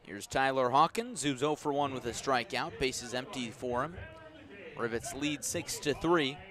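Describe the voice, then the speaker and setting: A man giving live radio baseball commentary as the play-by-play announcer, describing each moment in an unhurried way and lifting his voice on the action. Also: medium pitch, a crowd in the background.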